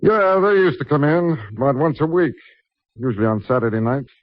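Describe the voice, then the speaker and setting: A man's voice: on the high side, expressive, a little fast, dry recording, close to an everyday voice. An actor playing an easygoing, plain-spoken male barkeep in a 1950s radio drama, slangy and offhand. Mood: offhand, chatty. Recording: muffled old radio broadcast.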